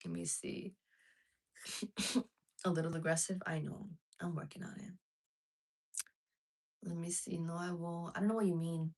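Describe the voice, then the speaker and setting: A woman's voice: fairly low pitch, flat delivery, measured pace, long pauses, a little mellow. A woman live streaming casually to her viewers, chatting frankly and reading out chat messages. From United States.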